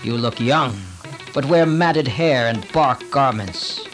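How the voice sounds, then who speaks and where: A man voicing a gigantic man-eating demon in a storybook epic, roaring, boastful and threatening, with slow, taunting emphasis. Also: mid-range voice, expressive, a bit bright, faint music behind. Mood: menacing, scornful.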